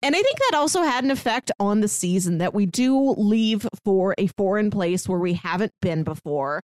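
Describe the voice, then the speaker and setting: Woman podcaster gushing about a show, mid pitch, laughing as she goes, dead dry mic. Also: animated, moderate pace, darkish tone, dry recording. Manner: chatty, laughs between phrases. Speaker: podcast co-host. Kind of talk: casual chat reviewing a TV show.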